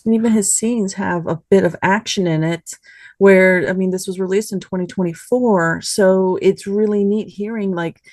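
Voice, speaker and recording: A woman's voice: medium pitch, steady tone, normal speed, darkish tone, no room sound. A woman on a podcast panel, chatting casually in rambling, enthusiastic detail. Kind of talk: casual review chat.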